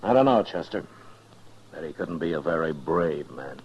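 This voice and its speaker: A man playing a steady, authoritative frontier marshal in a 1950s radio western, plain-spoken, with an American accent. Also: extremely low pitch, normal speed, husky, heavily acted. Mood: matter-of-fact. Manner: plain-spoken, commanding, terse. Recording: dull, noisy 1950s radio recording.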